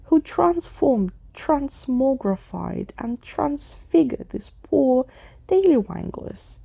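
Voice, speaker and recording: A woman's voice: sits high, very animated, slow pace, vocal fry, warm tone, husky, dry recording, pitched above the performer's own voice, exaggerated voice. A woman voicing a theatrical male skeleton adventurer in a pompous, wordy, emphatic storybook manner. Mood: baffled, indignant.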